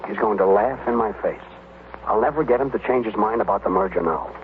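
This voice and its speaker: A man's voice: fairly low pitch, fairly quick, lightly acted. Exasperated husband played by a man, tinny sound. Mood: bitter, worried. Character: exasperated, long-suffering husband, fond but worried about business. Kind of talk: old-time radio comedy acting. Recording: noisy, tinny old-time radio recording.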